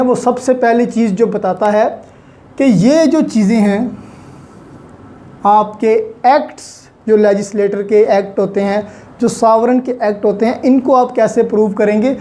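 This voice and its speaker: Mellow-voiced Pakistani woman lecturing. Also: fairly high pitch. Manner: didactic, poses questions and answers them; mixes in many English terms. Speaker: law lecturer. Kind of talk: online lecture, explaining step by step.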